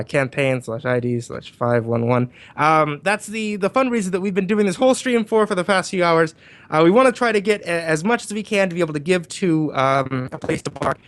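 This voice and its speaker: A man with a fairly high voice hosts a livestream. He speaks casually and informally, addressing viewers and chatting with a group over a call mic. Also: fairly bright tone. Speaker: livestream host. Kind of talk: casual group chat and fundraiser appeal.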